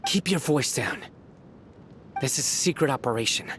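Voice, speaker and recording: A man's voice: medium pitch, expressive, moderate pace, fairly bright tone, slight background noise, lightly acted. A man playing a stern, principled law enforcement general in an anime game, terse and formal. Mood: stern, guarded.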